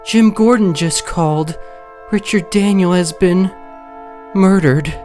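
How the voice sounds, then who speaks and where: Woman acting slow, low-pitched dramatic comic heroine. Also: dark tone, soft background music. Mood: frightened, tender. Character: anxious, tender wives and a sly masked female thief. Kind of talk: comic book dub acting.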